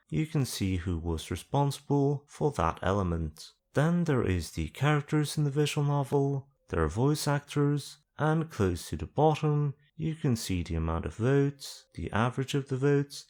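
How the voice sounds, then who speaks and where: A man's voice: medium pitch, darkish tone. A man narrating an explanatory tutorial in a plain-spoken, dry, self-deprecating way. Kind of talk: explanatory screen-guide narration with casual self-deprecating asides.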